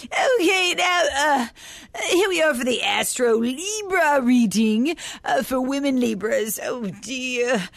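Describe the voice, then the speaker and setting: A tipsy fortune-teller lurches from giggles to loud blurts , as a woman puts her on, her voice riding high and leaping wildly up and down. The sound is close and dry, with no room around it. She is crude, self-mocking and always half a step from falling over. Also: animated, slow, gravelly, no room sound, exaggerated voice. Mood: tipsy rambling. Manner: slurring, giggly, swooping loud outbursts. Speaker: comic horoscope contributor. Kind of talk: comedy radio skit.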